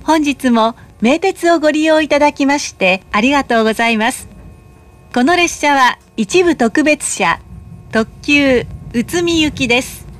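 Woman, high voice, slow pace, polite recorded transit announcement, careful enunciation. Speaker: train announcer. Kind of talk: recorded public announcement, scripted.

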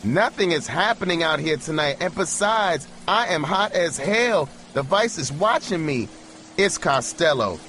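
A man voicing a desperate young female street hustler who talks loud and frantic. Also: a little high, lively intonation, measured pace, a little hiss or hum, voice pushed up. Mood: pleading. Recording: music bed behind the voice.

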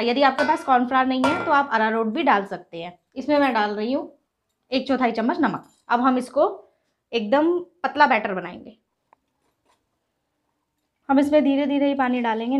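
A woman's voice: fairly high pitch, muffled recording. A woman hosting a cooking channel, patiently explaining each step of a recipe to viewers in her home kitchen. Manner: patient, instructive, addresses viewers as friends. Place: home kitchen with gas stove.

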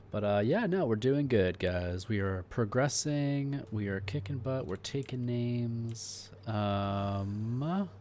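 A man's voice: mid-range voice, expressive, slow, slight background noise. A man hosting a casual let's play, chatting in a laid-back way with jokey asides. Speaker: gaming channel host. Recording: loud game music behind voice.

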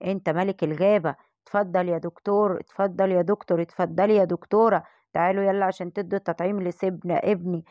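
A woman's voice: on the low side, steady tone, fast, no echo, muffled recording, voice pushed down, natural voice. A woman doing a proud lion king father. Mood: coaxing. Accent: Egyptian Arabic. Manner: boastful, coaxing.

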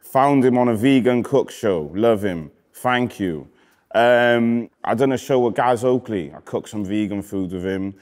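A man in his thirties from Wales with a Cardiff accent, a rapper casually reading out comments in a relaxed, slangy way that often trails off quietly. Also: mid-range voice, unhurried. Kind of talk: casual chat. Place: indoor studio with graffiti wall.

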